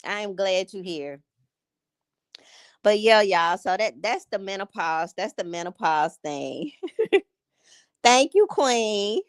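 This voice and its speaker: A woman's voice: medium pitch, lively intonation, takes it slowly, pauses often, smooth, no echo, clean studio sound. A woman in her late fifties from Gary, Indiana, chatting casually with her livestream viewers and laughing often. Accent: Northern Indiana, Gary. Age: 50s. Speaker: livestream host.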